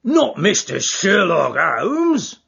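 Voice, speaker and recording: A man voicing a gruff, stubborn burly porter and former boxer who talks bluntly with rough grammar. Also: fairly high pitch, very animated, moderate pace, a bit bright, voice pushed up, full character voice. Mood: hearty. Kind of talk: audiobook character voice. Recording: dull lo-fi audiobook recording.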